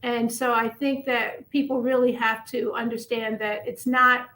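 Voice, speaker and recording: A woman's voice: on the high side, level tone, unhurried, pushed and bright. A woman in her fifties, a family physician and acupuncturist, talking earnestly as a radio interview guest. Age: 50s.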